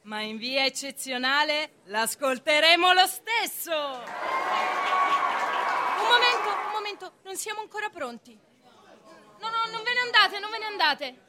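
An imperious, capricious judge in a radio play, over a noisy crowd. Her voice is very high and she draws out her words slowly and dismissively. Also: lively intonation. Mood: flustered. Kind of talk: theatrical radio acting.

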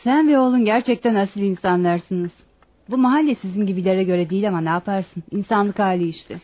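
A woman's voice: mid-range voice, brisk, mellow, natural voice. An actress in a radio play playing a friendly, gossipy widowed landlady with a chatty, complimentary manner. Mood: friendly, flattering. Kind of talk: radio theater acting.

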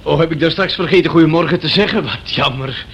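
An actor playing a polite, apologetic and evasive younger lodger in a radio play. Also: male voice, fairly high pitch, quick pace, fairly bright tone. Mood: polite, puzzled. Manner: apologetic, modest. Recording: dull, hissy radio drama recording.

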